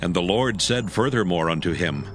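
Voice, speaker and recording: A man's voice: deep voice, expressive, moderate pace. A man narrating a dramatized scripture reading as its storyteller. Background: music.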